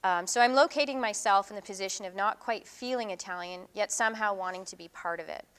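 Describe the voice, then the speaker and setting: Middle-aged woman, smooth clear voice, mid pitch, casual lecture, thoughtful with ums and restarts. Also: quick pace, fairly bright tone. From Canada. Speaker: visiting artist giving a lecture. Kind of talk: artist talk presenting her work.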